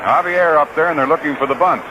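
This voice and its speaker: A man's voice: a little high, quick pace. Noisy vintage radio baseball announcer, American man. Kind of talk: live radio baseball play-by-play commentary. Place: outdoor ballpark broadcast booth.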